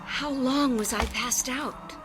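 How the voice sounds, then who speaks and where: An actress playing a frightened, hurt woman in a radio drama, speaking in a breathless, halting, emotional way. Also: high voice, over music. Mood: shaken. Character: frightened, injured woman battling tiny silvery creatures.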